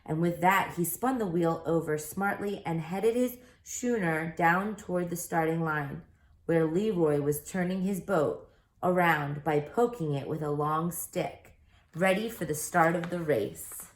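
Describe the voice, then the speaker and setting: A woman reading a children's book aloud as an expressive storyteller, recorded in an echoey room. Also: lowish voice, a little slow.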